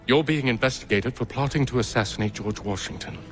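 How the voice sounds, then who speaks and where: An actor playing a cold, scheming gentleman henchman in a video game, speaking in a sly, condescending way. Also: medium pitch, brisk, soft background music, natural voice. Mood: smooth menace. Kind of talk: video game cutscene acting.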